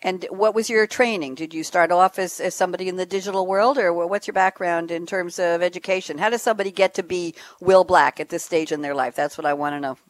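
A woman's voice: fairly low pitch, fast, hardly any pauses, no echo. A woman hosting a radio talk show, upbeat and a little theatrical as she introduces guests.

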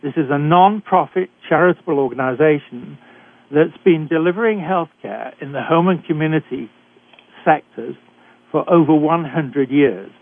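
An older British man hosting a talk radio show, introducing guests in a measured, deliberate way with occasional hesitations. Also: a little high, creaky. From Britain. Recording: dry, tinny phone-like line.

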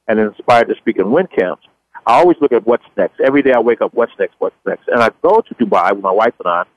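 A man's voice: medium pitch, no room sound. Enthusiastic male talk radio host. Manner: enthusiastic, repetitive phrasing. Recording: dull dry studio audio.

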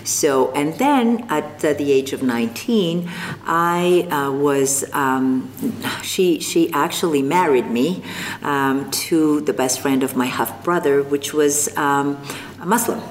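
An older woman from North Africa, speaking as an interview guest, slow and thoughtful with frequent pauses. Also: low voice. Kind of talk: reflective interview conversation. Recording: long reverb, faint background noise.

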